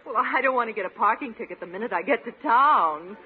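An American actress playing a poised, gently demanding lady neighbor in a 1950s radio comedy. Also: on the high side, lively intonation, quick pace, natural voice. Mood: expectant, prodding. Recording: narrow-band old radio recording, faint noise.

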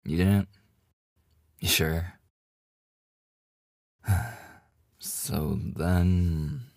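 A man's voice: low-pitched, vocal fry, a little mellow, no room sound, clean studio sound, full character voice. A man playing a devoted, slightly obsessive boyfriend in an intimate ASMR audio roleplay, with a hesitant, stammering manner. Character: devoted, nervously joking boyfriend hiding obsessive yandere streak. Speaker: voice actor.

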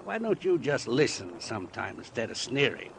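An actor playing a slick, cagey lawyer in an old-time radio crime drama, glib, needling and playfully sarcastic. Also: male voice, medium pitch, very animated, moderate pace, vocal fry. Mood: mocking, evasive. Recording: slightly noisy vintage radio recording.

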